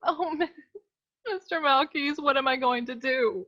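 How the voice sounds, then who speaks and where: Actress as melodramatic young noir damsel, fairly high voice, earnest comic radio delivery, slightly dull lo-fi sound. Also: female voice, measured pace. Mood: desperate. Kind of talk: humorous noir radio serial acting.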